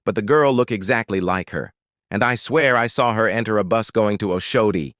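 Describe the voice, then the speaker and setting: A man's voice: on the low side, fairly quick, a bit bright, no echo, clean studio sound, lightly acted. A man with a Nigerian accent voicing a suspicious, gossiping young female student in a story, pointed and insistent.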